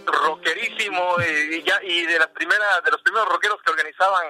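A man's voice: high voice, fairly quick, sharp tone, dry recording, soft background music, rough recording. A man from Veracruz, a musician guest in a podcast interview, talking casually with many fillers and restarts. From Veracruz, Mexico.

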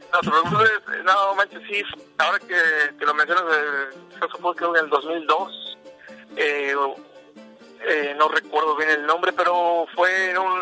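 A man's voice: on the high side, bright, forceful tone, poor audio. A man from Veracruz, a musician guest in a podcast interview, talking casually with many fillers and restarts. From Veracruz, Mexico.

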